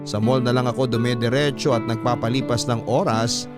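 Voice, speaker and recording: A man with a Filipino accent voicing a resentful, self-pitying student's personal story as measured, confiding radio drama narration. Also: medium pitch, steady tone, over loud music, close to an everyday voice. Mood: resentful, sad.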